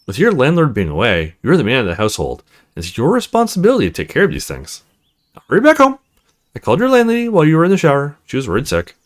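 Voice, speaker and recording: A cool, confiding married woman from a visual novel, read aloud by a man in a mid-range voice. She speaks in measured phrases with very wide, expressive swings of intonation. She comes across as frank and self-possessed. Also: mid-range voice. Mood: serious, explaining. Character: cool, confiding married female neighbor, self-described ruthless cougar.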